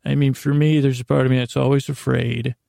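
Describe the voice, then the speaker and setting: A man hosting a podcast in a meandering, halting bedtime monologue, repeating words and drifting into tangents. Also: medium pitch, level tone, mellow, no echo, clean studio sound. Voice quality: gravelly, creaky. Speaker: podcast host. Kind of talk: rambling bedtime monologue for sleepless listeners.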